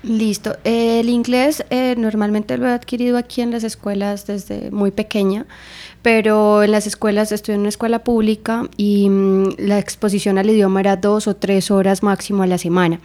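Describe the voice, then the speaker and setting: A woman's voice: medium pitch, little pitch movement, moderate pace, mellow. Colombian woman, thoughtful and hesitant. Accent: Colombian Spanish. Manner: thoughtful, with many fillers like "eh" and "digamos". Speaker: guest. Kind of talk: interview answers.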